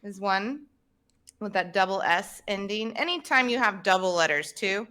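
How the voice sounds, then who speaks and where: A woman, hosting a podcast and explaining English pronunciation clearly over a video call. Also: medium pitch, normal speed, bright, forceful tone. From Tennessee, United States. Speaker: podcast host and English teacher. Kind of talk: casual teaching chat. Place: home room, indoors. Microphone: video call, webcam.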